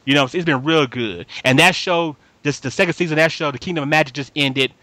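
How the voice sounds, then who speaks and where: A man's voice: a little high, quick pace, sharp tone, no room sound. A man hosting a casual fan chat, talking emphatically in slangy speech, repeating himself and often checking that listeners follow. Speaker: podcast host reviewing anime.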